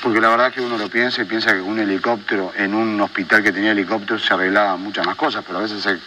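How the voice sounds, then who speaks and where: A man's voice: fairly low pitch, steady tone, racing, sharp tone, slight background noise, rough recording. A man working as an interviewer, putting probing questions to a guest.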